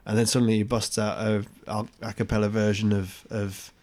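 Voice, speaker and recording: A man's voice: fairly low pitch, level tone, a little fast, darkish tone. Hesitant man in casual podcast chat. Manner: hesitant, searching for words. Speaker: podcast co-host.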